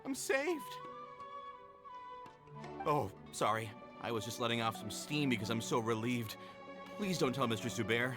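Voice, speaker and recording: A overworked, sheepish theater hand in a video game. His mid-pitched voice moves around a lot, and music plays clearly behind it. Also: sing-song, unhurried, pauses often. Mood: relieved, sheepish. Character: overworked, relieved, apologetic theater man.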